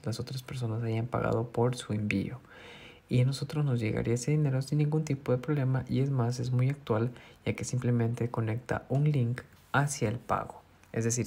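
A man's voice: mid-range voice, darkish tone, breathy edge. A man hosting a phone app tutorial, explaining each step patiently and repeating himself. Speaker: tutorial host. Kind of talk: screen-recorded phone tutorial.

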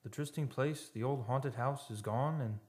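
An actor playing a loyal, solemn friend in a radio play, speaking in formal, poetic phrases. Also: male voice, medium pitch, moderate pace, darkish tone, close to an everyday voice. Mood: wistful, somber. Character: Don, loyal solemn friend, male narrator of a haunting tale. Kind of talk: old-time radio drama acting. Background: music behind the voice.

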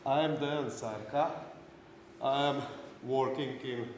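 A man's voice: mid-range voice, fairly even intonation, a little slow, darkish tone. A middle-aged man from Slovenia with a Slovenian accent, giving a technical lecture at a conference as a non-native speaker. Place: indoor conference breakout room. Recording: roomy echoey hall, clear background noise.

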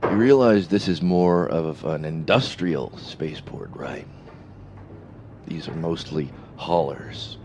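A man playing a stern, suspicious spaceport security boss in a science fiction audio drama, slow and menacing in manner. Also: low voice, background noise. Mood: stern, probing.

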